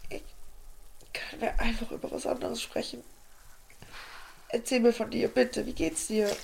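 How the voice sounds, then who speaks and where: Troubled young woman for audio drama: apologetic, halting, naturalistic delivery with some creak. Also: on the high side, flat delivery, darkish tone, slight background noise, poor audio. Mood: anxious, hesitant. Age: young adult. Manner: hesitant, halting. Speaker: lead actress and narrator.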